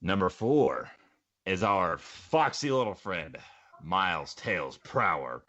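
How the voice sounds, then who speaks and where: Casting: young male podcast host, bright and very animated, goofy fan commentator. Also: low-pitched, slow pace, muffled recording. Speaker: podcast host and amateur voice actor. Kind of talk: top-list commentary and casual group banter.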